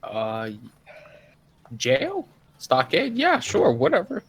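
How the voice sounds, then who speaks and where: A man's voice: high voice, wildly swooping pitch, slow, gravelly, breathy edge, heavily acted. A man voicing a casual, evasive captor who feigns innocence in a tabletop fantasy game. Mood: feigned innocence.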